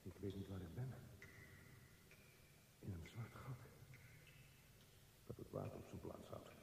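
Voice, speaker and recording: An actor playing a frightened, brooding man lost in a dark tunnel, in a halting radio play monologue. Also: sits low, vocal fry. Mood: anxious, pleading. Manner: halting, fragmented, intense interior monologue. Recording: echoey reverberant tunnel sound, faint hiss.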